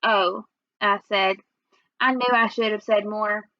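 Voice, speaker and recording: A woman's voice: mid-range voice, steady tone, measured pace, no room sound. A woman reading a children's book aloud as narrator, with a slightly muffled sound. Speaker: read-aloud reader.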